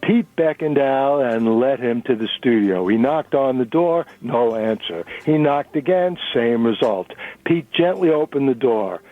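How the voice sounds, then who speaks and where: A man's voice: mid-range voice, expressive, a little slow. Man narrating over narrow-band radio. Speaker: narrator and reader. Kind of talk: dramatic reading of a novel.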